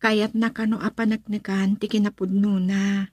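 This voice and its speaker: A woman's voice: medium pitch, little pitch movement, normal speed, a little mellow, dry recording, natural voice. A woman with an Ilocano accent playing a hesitant young woman in love, a dutiful daughter in a radio drama. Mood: earnest, careful.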